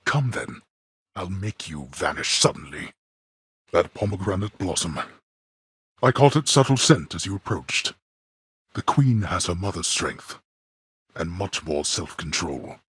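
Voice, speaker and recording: An actor playing a grim, imperious god of the dead and stern father in a video game, with a husky, gravelly voice and formal, archaic, menacing delivery. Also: on the low side, lively intonation, unhurried, long pauses, no room sound, studio quality, exaggerated voice. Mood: stern menace. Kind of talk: theatrical video game villain acting.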